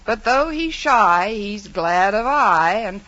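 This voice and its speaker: An actress playing a sardonic, lovestruck schoolteacher with arch, wisecracking delivery in a 1940s radio comedy. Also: female voice, mid-range voice, lively intonation, slow pace, bright, forceful tone. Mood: coy, wry. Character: wry, sardonic, lovestruck female high-school English teacher. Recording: dull vintage radio, studio audience.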